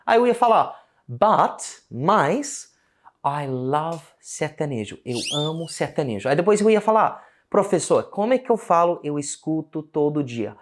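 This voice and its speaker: An Australian man who speaks Portuguese with an English-speaker's accent, giving a casual online English lesson and slowing down for his English examples. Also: on the high side. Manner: animated, switches between Portuguese and slow English examples. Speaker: English teacher.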